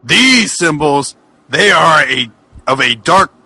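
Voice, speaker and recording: A man voicing a menacing, scheming ancient genie in a comic fan fiction reading, grandiose and halting. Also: a little high, big pitch swings, pushed and bright, breathy edge, dry recording, exaggerated voice.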